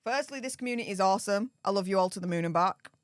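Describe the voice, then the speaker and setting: A woman's voice: medium pitch, very fast, no echo, clean studio sound. A woman with a Yorkshire accent hosting a live stream, reading out donations with perky, gushing enthusiasm. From Yorkshire, England.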